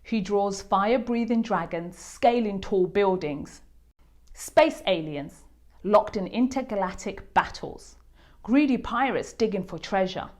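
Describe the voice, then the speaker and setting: A woman's voice: mid-range voice, unhurried, a little mellow. A woman reading a children's storybook aloud expressively, speaking directly to her young listeners as host.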